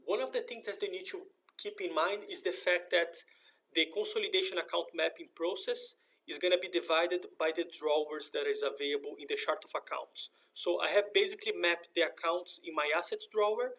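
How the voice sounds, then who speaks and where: A man narrating a step-by-step software screen tutorial in a methodical, explanatory manner. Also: high-pitched, vocal fry. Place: voice-over for a screen recording.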